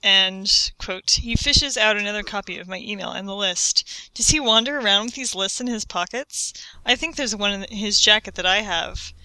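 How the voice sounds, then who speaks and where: Woman, podcast co-host, very bright, crisp, dry close sound. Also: medium pitch, no echo, poor audio. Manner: chatty, quick to joke. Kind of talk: casual podcast chat.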